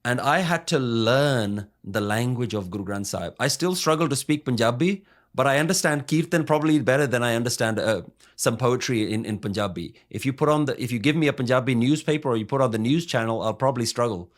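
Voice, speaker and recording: Male spiritual speaker, mid-pitched, earnest and emphatic, leans on rhetorical questions. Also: brisk, hardly any pauses. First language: English. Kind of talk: earnest teaching talk.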